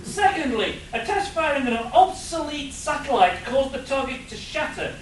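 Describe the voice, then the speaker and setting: A man's voice: extremely high pitch, lively intonation, moderate pace, slight background noise. Man playing an explaining professor, very echoey. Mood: lecturing. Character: learned scientist professor, matter-of-fact, slightly wistful. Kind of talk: comic radio play performed live. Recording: very echoey room, distant mic.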